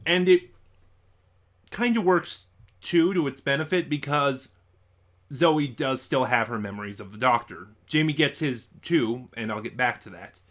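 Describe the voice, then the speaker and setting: A man's voice: medium pitch, unhurried, fairly bright tone. A man giving a casual, unscripted review as an online reviewer, rambling and thinking aloud in a stop-and-start way.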